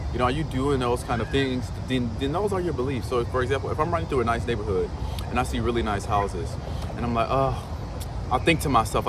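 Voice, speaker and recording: A man in his thirties giving a casual self-help talk, loose and swearing, full of fillers and restarts. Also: mid-range voice. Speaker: motivational vlogger. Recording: outdoor traffic noise behind.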